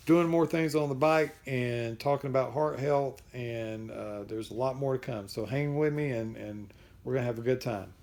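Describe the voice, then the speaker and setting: A man chatting casually in a vlog update, rambling a little with restarts and a voice that is going hoarse. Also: medium pitch, a little mellow. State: losing his voice. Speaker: vlogger.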